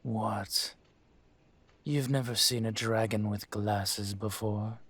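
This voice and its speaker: A man playing an aging dragon mafia boss in a roleplay audio drama, speaking in a smooth, deliberate, courtly manner with veiled menace. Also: fairly low pitch, fairly even intonation, fairly bright tone. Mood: suave, courteous. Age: older.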